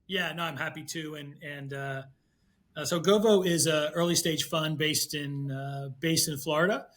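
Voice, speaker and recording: Higher-voiced man, halting interview guest. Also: steady tone, a bit bright. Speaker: guest, venture investor. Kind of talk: conversational interview answer.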